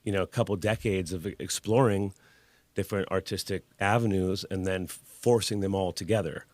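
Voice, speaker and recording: A man's voice: deep voice, fairly even intonation, moderate pace, a little mellow, no echo. A man in his forties, a guest artist telling his story in a candid, laid-back way with casual swearing. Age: 40s. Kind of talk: casual interview conversation.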